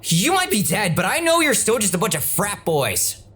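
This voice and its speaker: A man's voice: high voice, wildly swooping pitch, moderate pace, fairly bright tone, heavily acted. A young man playing an earnest, nerdy ghost-hunter in an audio drama, eager and insistent.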